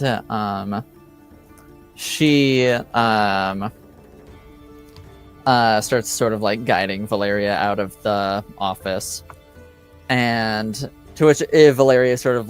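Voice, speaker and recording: Slow, halting male game master. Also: lowish voice, soft background music. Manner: halting, hesitant delivery. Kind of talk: improvised narration of a tabletop fantasy game.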